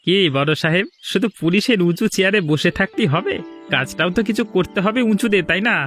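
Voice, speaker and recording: An older village patriarch in a cartoon drama. His voice sits high for a man, and he orders, scolds and threatens like a schemer used to being obeyed. Faint music plays behind him. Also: moderate pace, a bit bright. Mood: sly, commanding.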